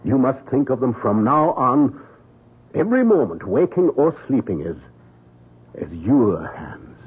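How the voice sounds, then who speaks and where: An actor playing a kindly, fatherly German surgeon in an old-time radio drama, with an emphatic, deliberate delivery and a German accent. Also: medium pitch, very animated, husky, heavily acted. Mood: solemn, confiding. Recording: narrow, tinny vintage radio recording.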